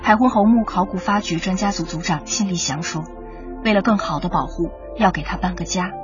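A woman's voice: lowish voice, brisk. A woman narrating a radio documentary in a dramatic, scripted style. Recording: slightly muffled, music underneath.

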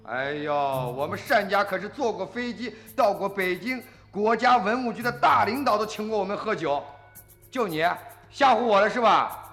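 Hotheaded village farmer son, radio drama, blustery n sarcastic, kinda high voice, music behind. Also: male voice, expressive, moderate pace, fairly bright tone, in a large hall. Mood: angry, blustering. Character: hot-headed, defiant farmer, protective grown son.

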